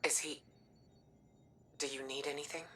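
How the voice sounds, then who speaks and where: A woman voicing a solemn, steady commander in a video game, calm and deliberate, with a breathy, husky voice. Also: a bass voice, a little hiss or hum. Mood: hushed, concerned. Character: solemn, steady female commander offering reassurance.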